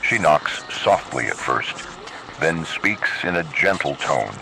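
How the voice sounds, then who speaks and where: A man playing an ominous announcer of horror warning broadcasts, speaking gravely and in a measured, instructing way. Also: a bass voice, big pitch swings, background noise, exaggerated voice. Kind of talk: scripted horror public-announcement narration.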